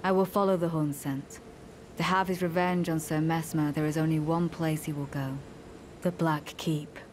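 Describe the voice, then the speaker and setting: An actress playing a coolly ruthless, devout woman knight in a fantasy game, speaking formally and with measured solemnity. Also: low voice, steady tone, darkish tone, a little hiss or hum, close to an everyday voice. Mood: cold resolve. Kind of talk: scripted fantasy game dialogue.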